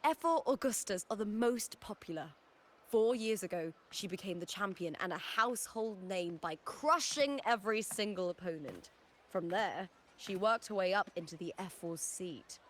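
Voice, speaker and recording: An actress playing an upbeat, fiery young female arena fighter in a video game, chatty and animated. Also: mid-range voice, normal speed, lightly acted. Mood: earnest, thoughtful. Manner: animated, breezy, casually chatty. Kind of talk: video game character acting.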